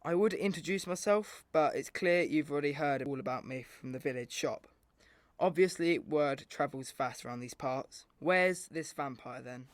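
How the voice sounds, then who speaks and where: A man's voice: fairly high pitch, moderate pace, lightly acted. A scornful city military man in a radio drama, acted by a man. He talks down to everyone in a pompous, impatient way and has no time for superstition. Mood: condescending. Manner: pompous, condescending. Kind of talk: radio play acting.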